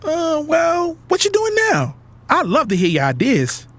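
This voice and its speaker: A man's voice: extremely high pitch, huge pitch swings, unhurried, a bit bright, full character voice. An actor playing a guarded, commanding businessman with a clipped, businesslike manner in an audio drama. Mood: interested, polite.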